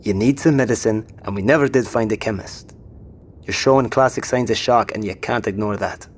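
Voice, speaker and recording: A man playing a practical survivor who coaxes a wounded companion, steady and level-headed. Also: fairly low pitch, a little fast, a little hiss or hum, lightly acted. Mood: coaxing. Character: dry, practical British male survivor companion. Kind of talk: audio drama acting.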